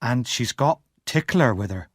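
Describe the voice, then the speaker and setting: A man voicing a huge, kind, simple blacksmith who talks mildly and calmly. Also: medium pitch, a little slow, dry recording, studio quality. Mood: gentle. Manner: mild, calm.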